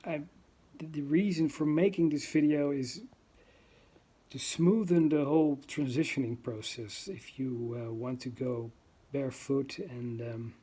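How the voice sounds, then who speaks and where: Man, dutch accent, non-native english, slow-paced, hesitant, many fillers. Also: mid-range voice, mellow, slightly rough. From Netherlands. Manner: hesitant, many ums and uhs, searching for words. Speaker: vlogger. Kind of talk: unscripted talk to camera.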